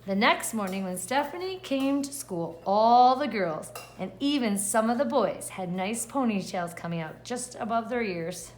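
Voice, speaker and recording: A woman's voice: fairly high pitch, lively intonation, normal speed, hardly any pauses, slight background noise. A woman kindergarten teacher reading a picture book aloud expressively and putting questions to the children. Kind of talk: read-aloud lesson for young children.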